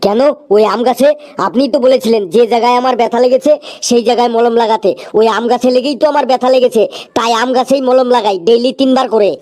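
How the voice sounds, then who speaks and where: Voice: medium pitch, fairly even intonation, fast talker, darkish tone, close to an everyday voice. A performer voicing an indignant, dim-witted, literal-minded patient in a comedy cartoon. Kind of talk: comedy cartoon skit dialogue.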